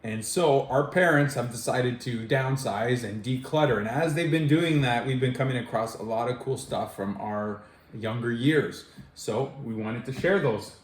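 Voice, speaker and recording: A man's voice: mid-range voice, moderate pace. Reminiscing man in an echoey room. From Canada. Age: 40s. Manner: rambling, reminiscing, restarts often. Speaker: co-host. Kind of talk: casual nostalgic chat. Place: family home or garage. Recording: echoey room with faint noise.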